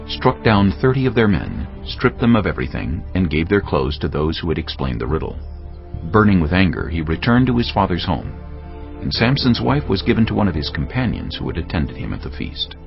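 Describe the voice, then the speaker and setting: A man's voice: low-pitched, vocal fry. A man narrating scripture in a dramatic, scripted style. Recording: dull lo-fi audio with music. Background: music.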